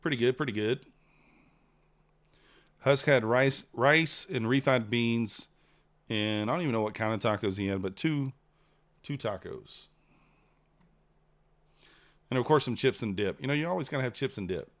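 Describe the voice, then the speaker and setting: A man's voice: mid-range voice, moderate pace, pauses often. A man, hosting a live trading stream in casual chat, rambling and replying to chat. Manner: relaxed, rambling, reads chat aloud. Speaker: livestream trader host.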